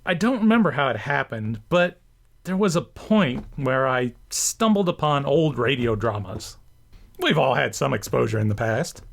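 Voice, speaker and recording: A man's voice: mid-range voice, expressive, moderate pace, vocal fry. A man hosting a scripted video essay, narrating with enthusiasm and an emphatic, theatrical delivery. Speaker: host and writer.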